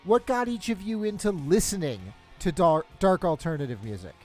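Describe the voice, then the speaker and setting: A man's voice: high voice, very animated, normal speed, faint music behind. A man hosting a casual interview show, rambling with many fillers and restarts. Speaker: podcast host.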